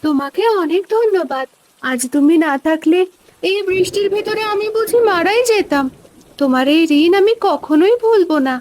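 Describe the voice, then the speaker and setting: A woman voicing a kindly, gentle magic fairy in a cartoon. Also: very high voice, lively intonation, normal speed, a little hiss or hum. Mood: grateful. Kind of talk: cartoon voice acting.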